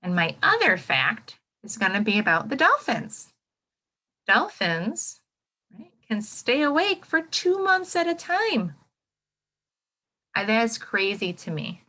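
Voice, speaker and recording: A woman's voice: a little high, very animated, slow pace, sharp tone. A woman with an American accent reading aloud to children as a teacher, exclaiming and putting questions to her young listeners. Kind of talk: children's read-aloud lesson with commentary.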